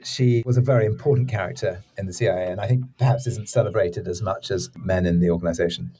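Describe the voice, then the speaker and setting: A man's voice: sits low, fairly even intonation, fast talker, dark tone, breathy edge. A man speaking as a drama director being interviewed, explaining things conversationally with loose, informal phrasing. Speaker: audio drama director and executive producer, interview guest.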